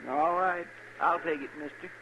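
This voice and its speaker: A man's voice: fairly high pitch, fairly even intonation, normal speed, bright, forceful tone. An American actor playing a poor, earnest, folksy townsman in a 1950s western radio drama, with a plaintive drawl. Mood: matter-of-fact. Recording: noisy, muffled vintage radio recording.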